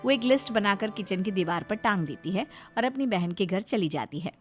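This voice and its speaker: A woman's voice: medium pitch, lively intonation, brisk, soft background music, lightly acted. A woman narrating a scripted cartoon family story. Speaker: narrator and character voice.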